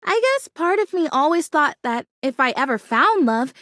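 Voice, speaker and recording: A woman's voice: high-pitched, lively intonation, moderate pace, a bit bright, no echo, clean studio sound. A woman voicing a perky, earnest, kooky female houseplant come to life in a video game, with a bubbly, gushing manner. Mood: happy, playful. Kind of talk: video game character voice acting.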